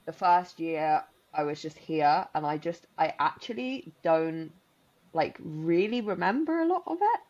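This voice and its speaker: Podcast co-host, woman in her 30s, deep voice, rambling chatty delivery, slightly muffled lo-fi sound. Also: lively intonation, measured pace, darkish tone. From Spain. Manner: chatty, rambling with many likes and restarts. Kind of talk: casual chat.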